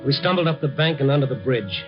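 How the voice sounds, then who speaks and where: A man's voice: mid-range voice, expressive, fast talker, close to an everyday voice. An American man acting in a 1950s radio drama as a tough, wry, kindly newspaperman who narrates his night story in an understated way. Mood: brooding. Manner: wry, hard-boiled, understated. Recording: dull, band-limited 1950 radio recording with music.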